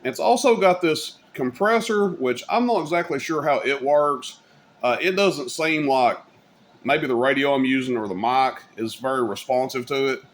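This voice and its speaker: Man, slightly low-pitched voice, folksy and informal, rambling hobbyist gear demo, faint background noise. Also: normal speed. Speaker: hobbyist video host demonstrating radio gear. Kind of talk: casual hands-on product demo.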